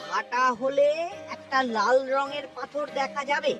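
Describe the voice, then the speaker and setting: High-pitched, officious cartoon minister. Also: female voice, a little slow, far from the mic, over music. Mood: explanatory. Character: scheming, greedy male royal minister. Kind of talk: cartoon voice acting.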